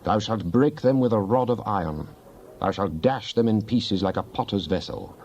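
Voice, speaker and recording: A man's voice: fairly low pitch, expressive, normal speed, a little mellow. A man giving a solemn, declamatory dramatic recitation of psalms as a scripture reader. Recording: dull lo-fi, faint background noise.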